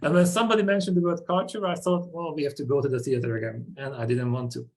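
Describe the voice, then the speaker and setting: A man's voice: on the high side, fairly even intonation, quick pace, a little mellow. A man in his forties from Hungary with a Hungarian accent, giving a webinar presentation in short, punchy sentences with rhetorical questions.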